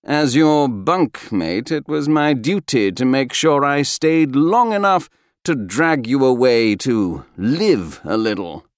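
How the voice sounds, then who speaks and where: A man's voice: medium pitch, sing-song, slow pace, no echo, clean studio sound, pitched above the performer's own voice. A man voicing a jovial, daredevil space crewman in an audiobook, a hearty and teasing best mate.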